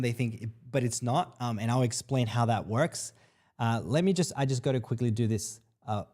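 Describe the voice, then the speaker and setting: A man's voice: mid-range voice, darkish tone. A man in his thirties, from El Salvador, hosts a live stream in a loose, upbeat way. He talks in a mid-range voice, full of fillers and little asides as he greets viewers and answers their questions. His speech is friendly and unscripted. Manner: chatty, upbeat, lots of fillers, reads comments aloud. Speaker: livestream host.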